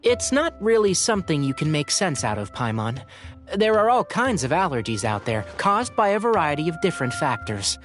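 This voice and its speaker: A man voicing a sensible young forest ranger in a video game, matter-of-fact and gently lecturing. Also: on the high side, very animated, moderate pace, faint music behind. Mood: dry lecturing.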